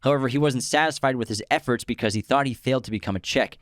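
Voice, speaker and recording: A man's voice: mid-range voice, fast talker, a bit bright, dry recording, studio quality. A young man co-hosting a podcast, chatting casually and reading out film trivia. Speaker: podcast co-host.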